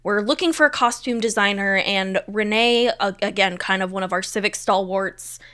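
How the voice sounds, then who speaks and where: A woman's voice: mid-range voice, normal speed, bright, forceful tone. A woman chatting casually as an interview guest, speaking in an effusive, emphatic way. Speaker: theatre stage manager, interview guest. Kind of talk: casual chat.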